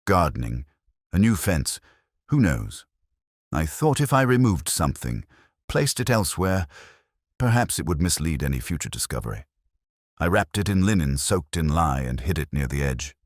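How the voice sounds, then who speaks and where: A male narrator plays a weary old magistrate from a detective story, burdened with guilt. The voice is very low yet lifts and falls markedly, confessing in halting, formal phrases. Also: very animated, dry recording, clean studio sound, exaggerated voice. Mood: guilty confession.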